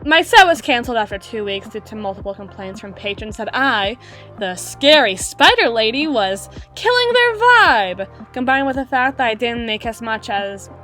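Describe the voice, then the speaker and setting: A woman playing a wistful, guarded female half-spider bouncer in an intimate roleplay monologue, musing with dramatic pauses and soft trailing phrases. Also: a little high. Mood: bitter, resigned. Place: close-miked recording with music playing behind.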